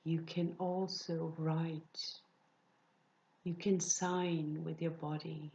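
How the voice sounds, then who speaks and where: A woman leading a guided meditation, speaking softly and soothingly, often dropping into breathy whispers. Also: sits low, little pitch movement, lo-fi sound. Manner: slow, soft, soothing with breathy whispered phrases.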